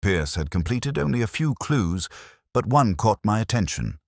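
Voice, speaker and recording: A man narrating a scripted first-person detective story in a measured, solemn, literary manner. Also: lowish voice, big pitch swings, gravelly, dry recording, clean studio sound. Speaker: audio drama narrator.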